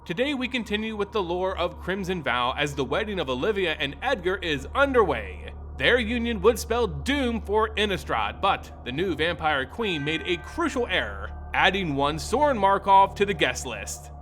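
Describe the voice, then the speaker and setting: A man hosting a lore channel, reading a scripted story in a theatrical, expressive way. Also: high-pitched. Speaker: host and narrator of a lore channel. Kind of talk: dramatic scripted story narration. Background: music under the voice.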